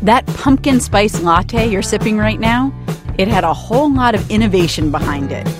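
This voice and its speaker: A woman's voice: mid-range voice, lively intonation, normal speed, music in the background. A woman with an American accent reading a scripted radio promo as show host.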